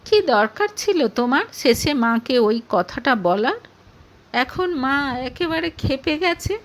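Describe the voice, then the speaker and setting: Defensive young boyfriend, voiced high by a woman. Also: animated, slow. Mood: reproachful. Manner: argumentative, justifying himself. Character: defensive young man, mother's boy, reproachful boyfriend.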